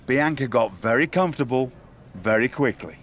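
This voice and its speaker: An actor playing a dry-witted wrestling tour organizer with a formal, wry way of speaking, in a video game cutscene. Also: male voice, mid-range voice, expressive, normal speed, a bit bright, a little hiss or hum, lightly acted.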